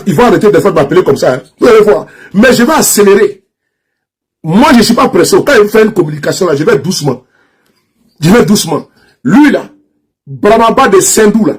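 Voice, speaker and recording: An Ivorian man with a strong Ivorian French accent and a high, husky voice, speaking to camera. He repeats his points over and over in a mocking, insistent tone and trips over false starts. The delivery is unscripted and personal, like an online commentator addressing his followers. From Ivory Coast. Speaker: social media commentator. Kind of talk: direct-to-camera political rant.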